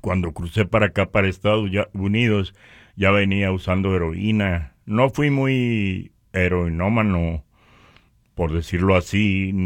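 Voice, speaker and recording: A man from northern Mexico with a Mexican accent, giving a conversational religious testimony in a halting way, repeating words. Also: low voice, fairly bright tone, no room sound, studio quality.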